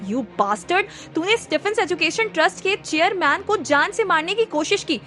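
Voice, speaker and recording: A woman voicing an enraged, accusing man who shouts threats and mixes English into Hindi. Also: extremely high pitch, lively intonation, moderate pace, faint music behind, exaggerated voice. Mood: furious. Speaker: figure in a hospital thriller story.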